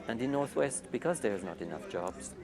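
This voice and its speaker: A middle-aged or older man with a Swiss accent, talking reflectively and haltingly in an interview style about his own work. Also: mid-range voice, lively intonation, music in the background. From Switzerland. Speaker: youth retreat founder. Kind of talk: interview-style personal talk.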